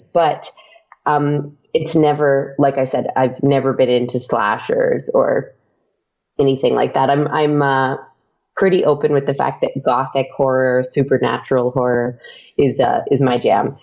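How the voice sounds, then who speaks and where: A woman being interviewed about her podcast, talking hesitantly with many pauses. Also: a bass voice, fairly even intonation, creaky, darkish tone, dull audio. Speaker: guest, audio drama podcaster. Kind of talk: casual interview chat.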